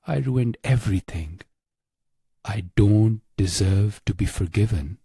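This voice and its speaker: A man narrating a guided meditation in a hushed, measured way with long pauses. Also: fairly low pitch, breathy edge, dry recording, studio quality.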